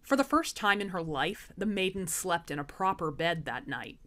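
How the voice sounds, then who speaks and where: A woman's voice: on the low side, animated, moderate pace, a bit bright. A woman in her thirties narrating a comic fairy tale in a wry, irreverent way. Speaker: storyteller. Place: small room indoors. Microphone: small handheld lavalier microphone.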